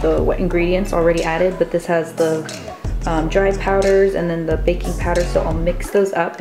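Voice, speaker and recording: A young woman in her twenties chatting casually as she explains her meal prep step by step in her home kitchen, talking to a camera on the counter. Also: on the low side. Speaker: fitness vlogger. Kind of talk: casual cooking vlog. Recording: echoey kitchen with music underneath. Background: background music.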